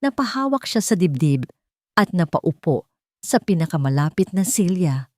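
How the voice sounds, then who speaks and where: A woman's voice: fairly low pitch, big pitch swings, moderate pace, a little mellow, no room sound. A woman narrating a scripted love story as a storyteller, in a dramatic style.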